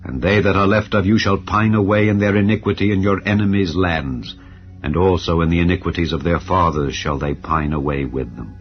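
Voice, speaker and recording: Deep, grave male scripture reader. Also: brisk. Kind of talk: solemn scripture reading. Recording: dull audio with soft music. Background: soft ambient music.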